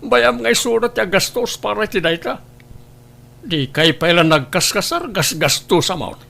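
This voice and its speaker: A man acting a blunt, cynical older uncle who nags and scolds in an Ilocano audio drama, his voice gravelly. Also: sits high, animated, moderate pace, sharp tone, full character voice. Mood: scolding, disapproving.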